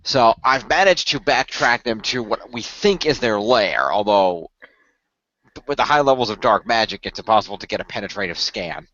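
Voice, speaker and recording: A man playing an earnest tech expert in an amateur radio play, explaining things in a wordy, halting, technical way. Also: lowish voice, lively intonation, pushed and bright. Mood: concerned, explanatory. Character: earnest male tech expert of a hero team, wordy planner. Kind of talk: amateur radio play, improvised-sounding dialogue. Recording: dull lo-fi voice recording.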